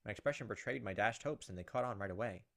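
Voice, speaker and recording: A man's voice: on the low side, fast talker, vocal fry, a bit bright. A man hosting a gaming video, reading a visual novel aloud as dramatic storytelling and breaking off for casual, off-the-cuff asides.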